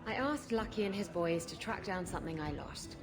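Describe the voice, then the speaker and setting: Woman acting a low-voiced, sly rogue duelist. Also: expressive, a little fast, close to an everyday voice. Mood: sly, confident. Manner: sly, flippant, self-assured. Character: brash, flirtatious female rogue duelist, defiant and sly. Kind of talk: video game character dialogue. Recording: music behind the voice.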